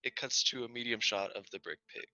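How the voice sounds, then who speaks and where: A man's voice: mid-range voice, brisk, sharp tone, no echo. A man reading camera shot directions at a script read, with muffled video call audio.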